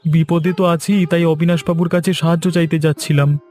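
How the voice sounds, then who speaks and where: A high-pitched, earnest cartoon villager, played by a man. He is naive and easily fooled, a plaintive fellow sunk in debt, with faint music behind him. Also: little pitch movement, brisk, a little mellow, natural voice. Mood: hesitant, worried. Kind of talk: cartoon fairy-tale dialogue. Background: background music.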